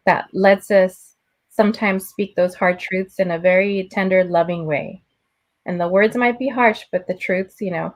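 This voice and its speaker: A woman hosting a casual video call conversation, affirming and slipping Spanish phrases into her English. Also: lowish voice. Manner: affirming, frequent "right?" tags. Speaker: host.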